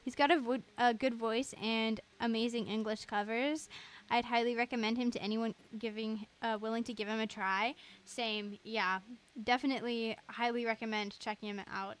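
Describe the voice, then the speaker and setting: A woman's voice: fairly high pitch, steady tone, normal speed. A young woman livestreaming a game, chatting casually in a gushing, chatty way.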